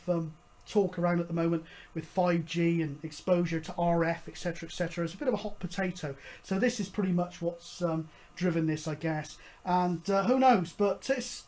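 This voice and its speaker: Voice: medium pitch, darkish tone. A host explaining things casually, in a hesitant, rambling, matter-of-fact way. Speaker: host of a hobby CB radio show.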